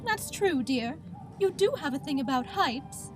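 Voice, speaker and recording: A woman's voice: sits high, expressive, measured pace, soft background music. A woman playing a sweet, dramatic, doting quilt doll in an audio drama, gushing and fussing theatrically. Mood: cheerful, fussing. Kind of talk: amateur audio drama acting.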